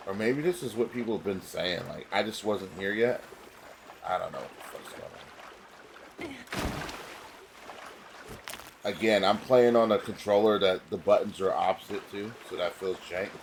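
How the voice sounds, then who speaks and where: A man's voice: on the low side, normal speed, pauses often, a little hiss or hum. A man with a stuffy nose giving casual, rambling gameplay commentary as a streamer, often confused by the controls and mocking himself. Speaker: gaming streamer. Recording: voice over game music. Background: game music.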